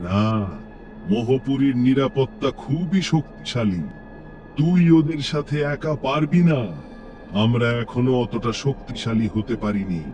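A man plays a demon overlord with a dark, mellow voice of medium pitch. He gives measured, cautious orders in a horror cartoon, with music underneath. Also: animated, muffled recording, lightly acted. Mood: commanding. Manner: commanding, measured. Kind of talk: animated horror fairy-tale acting.

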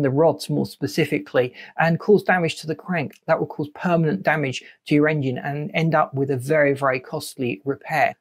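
Higher-pitched man explaining. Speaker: presenter of a car maintenance channel. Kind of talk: explanatory tutorial to camera.

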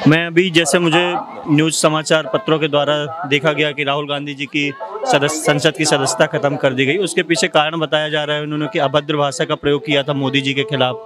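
A young man from Madhya Pradesh giving his opinion in a night-time outdoor street interview, talking formally with many pauses. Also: on the high side, level tone, crowd noise. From Madhya Pradesh, India. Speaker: interviewee, exam aspirant. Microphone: handheld mic.

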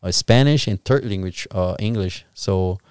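A man in his forties from Brazil, with a Brazilian Portuguese accent, speaking as a podcast guest into a studio boom mic in a halting way, searching for words. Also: a little mellow, clear, resonant tone, no echo. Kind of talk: casual interview. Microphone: studio boom mic with headphones.

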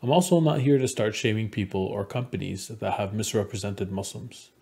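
A man in his forties from Montreal gives a prepared conference presentation in a measured, earnest storytelling manner. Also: fairly low pitch, lively intonation, creaky, dark tone. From Montreal, Canada. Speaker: conference speaker, game developer. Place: small indoor room at home.

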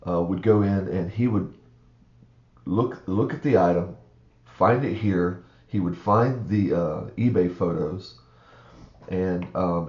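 A man's voice: sits low, darkish tone, echoey room, muffled recording. A man with a froggy, groggy morning voice, rambling through a casual screen-share walkthrough with many fillers. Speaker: online reseller vlogger.

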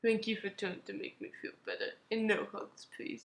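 A woman's voice: mid-range voice, big pitch swings, moderate pace, vocal fry, reverberant, slight background noise. A woman voicing a volatile, guilt-ridden animatronic fox in a comic dub, with an anguished, remorseful manner. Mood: subdued remorse.

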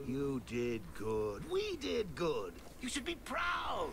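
A man's voice: fairly high pitch, huge pitch swings, takes it slowly, vocal fry, background noise, exaggerated voice. A man playing a gleeful, taunting clown villain in a video game, theatrical and mock-affectionate.

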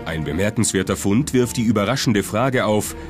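Male narrator for documentary, lower register, scripted read over a prominent music bed. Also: normal speed.

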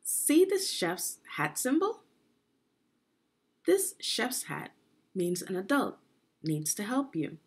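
A middle-aged woman with a slightly husky voice, giving a deliberate, instructive read-aloud lesson for young children. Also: mid-range voice, huge pitch swings. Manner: deliberate, instructive, with pauses and questions. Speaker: education consultant reading aloud to children.

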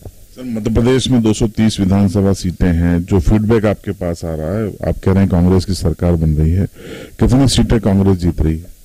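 A middle-aged male local news reporter with a low, mellow voice. He frames questions in a formal, brisk way and signs off the segment. The sound is dry and close in an office. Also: no echo, low-quality recording. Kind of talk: news interview questions and sign-off. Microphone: handheld branded mic.

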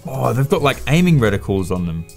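A man's voice: mid-range voice, big pitch swings, a little fast, vocal fry, soft background music. A man in his twenties hosting a gadget video with casual, blunt, sweary, joking banter in a studio. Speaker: video host testing gadgets. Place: small indoor studio at a table.

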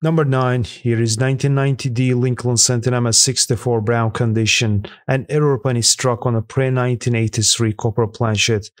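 Dry, even male voice-over narrator. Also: mid-range voice, brisk, hardly any pauses, dry recording. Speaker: narrator of a coin auction countdown. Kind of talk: scripted voice-over narration read aloud.

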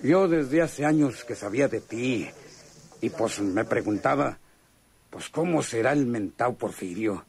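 An actor playing a chummy but bitter rural Mexican man from a poor family in a radio drama, talking in a halting, folksy way. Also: medium pitch, animated, creaky, a little mellow. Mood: chummy, eager. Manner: colloquial, halting, folksy storytelling. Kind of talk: radionovela acting.

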